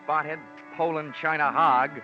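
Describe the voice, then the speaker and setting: A man's voice: a little high, steady tone, unhurried, lightly acted. A man playing a wry, sardonic, wisecracking insurance investigator in an old-time radio detective drama, narrating and trading dialogue theatrically. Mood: wry, brisk. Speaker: lead actor. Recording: dull old radio recording with music.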